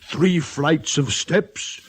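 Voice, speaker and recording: Halting, high-pitched doting father. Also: slightly rough, heavily acted. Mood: urgent, solemn. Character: hesitant, doting Russian father, a general. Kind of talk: old-time radio comedy-drama acting. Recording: noisy vintage radio recording with clicks.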